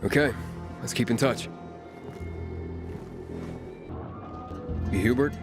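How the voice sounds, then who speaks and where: A man playing a determined, gruff outsider in a video game, speaking in a direct, matter-of-fact way. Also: medium pitch, a little fast, music in the background, lightly acted. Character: determined, gruff young male outsider on an investigation. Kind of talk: video game cutscene acting.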